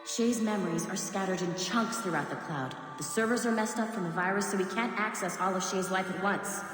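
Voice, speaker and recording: An actress playing a blunt, irritable tech worker in a game cutscene, brusque and matter-of-fact. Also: female voice, medium pitch, fairly quick, very echoey, in a large hall, over music, natural voice.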